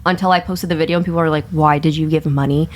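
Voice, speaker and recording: Young woman, American, slightly low voice, candid and chatty podcast host who tells stories freely. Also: racing. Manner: candid, animated storytelling with quoted asides and heavy swearing. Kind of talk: casual podcast chat.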